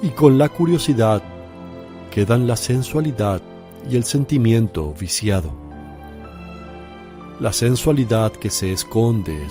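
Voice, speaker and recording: A man playing Jesus in a radio drama, speaking gently and solemnly as a teacher and preacher. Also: medium pitch.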